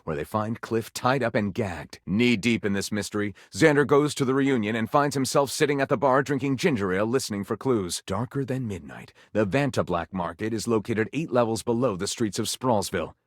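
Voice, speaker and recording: A man's voice: lowish voice, brisk, hardly any pauses, no room sound, clean studio sound, lightly acted. A man playing a theatrical game character who narrates pulpy detective adventures with arch mock gravity and a tongue-in-cheek manner. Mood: arch, dramatic. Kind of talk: dramatic scripted story narration.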